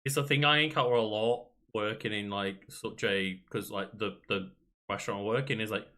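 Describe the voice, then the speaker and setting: Young man, bright forceful tone, casual podcast chat. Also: fairly low pitch, fairly even intonation, normal speed. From Merseyside, England. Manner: chatty, reassuring, filler-heavy. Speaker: podcast co-host.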